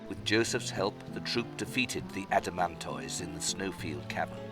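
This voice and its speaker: A man playing a solemn, wise old storytelling spirit in a fantasy game fan dub, speaking formally and gravely. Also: low-pitched, moderate pace, lightly acted. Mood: solemn narrating. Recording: loud music under the voice. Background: music.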